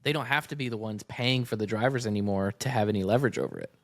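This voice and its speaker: A man's voice: lowish voice, sing-song, fast talker, no room sound, studio quality. An American man co-hosting a sports podcast, explaining his view in a casual, analytical style. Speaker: podcast co-host.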